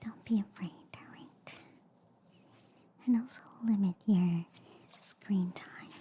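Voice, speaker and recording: A woman speaks in a breathy, mellow whisper, very close to the mic. Her delivery is slow and soothing, with playful reassurance, as in an ASMR roleplay. Faint noise sits behind her voice. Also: mid-range voice, fairly even intonation. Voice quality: soft, breathy, mostly whispered. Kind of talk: soft ASMR roleplay as a friendly alien doctor. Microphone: close ASMR microphone.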